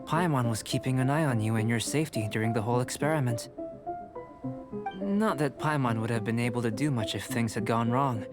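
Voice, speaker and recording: A man acts out a bookish young researcher for a video game. He speaks formally and calmly in a middle register, precise and polite. Music plays clearly behind him. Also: mid-range voice, lightly acted. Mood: composed, polite. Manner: measured, formal, scholarly. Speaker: video game character actor. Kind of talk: scripted game voice acting.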